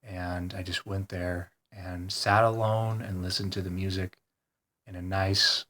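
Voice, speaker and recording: Man, very deep voice, flat delivery, stuffed-up nose, hesitant rambling vlog. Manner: hesitant, full of ums and pauses, soft-spoken. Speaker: vlogger. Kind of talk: rambling personal video diary.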